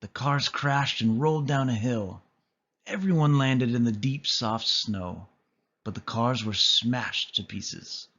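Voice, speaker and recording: A man reading a children's story aloud as an expressive narrator. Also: mid-range voice, takes it slowly, husky, lo-fi sound. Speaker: storybook reader.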